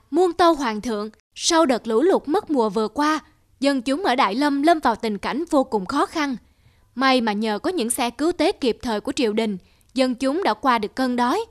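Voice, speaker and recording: A woman's voice: high voice, no room sound, clean studio sound. A woman voicing a dutiful middle-aged male minister in a cartoon folktale, formal and deferential. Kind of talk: historical cartoon folktale dub.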